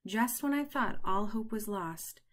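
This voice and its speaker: A woman voicing a grumpy old male turtle who snaps at first and then speaks slowly and kindly. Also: mid-range voice, lively intonation, lightly acted.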